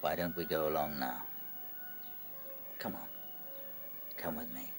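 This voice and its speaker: A man playing a calm, gentle otherworldly rabbit lord in a radio drama, speaking in a soothing, reassuring, gravelly voice. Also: unhurried. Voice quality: deep, gravelly. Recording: music behind the voice.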